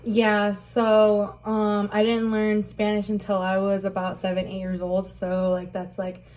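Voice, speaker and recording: A woman's voice: mid-range voice, monotone, measured pace, a little mellow. A young woman telling her life story in an interview, hesitant and full of "like" and "um". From Rockford, Illinois, USA. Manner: hesitant, many fillers like "like" and "um". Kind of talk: casual spoken recollection.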